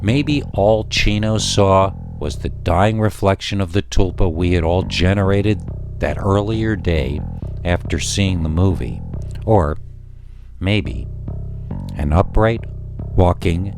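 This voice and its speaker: A man's voice: deep voice, slow pace, music in the background. A man with an American accent narrating a scripted scary story as a podcast host.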